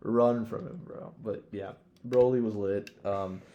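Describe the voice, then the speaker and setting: A man's voice: on the low side, fairly even intonation, unhurried, mellow, highs cut off. A man chatting casually as a podcast co-host, with an emphatic, slangy, sweary way of talking. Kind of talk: casual chat ranking favourites. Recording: echoey room.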